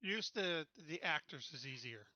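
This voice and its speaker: A man's voice: on the high side, animated, moderate pace, pushed and bright, dry recording, highs cut off. A man chatting casually and informally as a player in an online tabletop game. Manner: informal, often says "dude".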